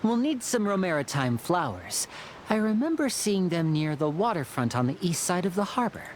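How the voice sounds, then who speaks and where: A man's voice: high voice, sing-song, moderate pace, a little hiss or hum. An actor playing a polite, theatrical young male magician in an anime video game. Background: game music.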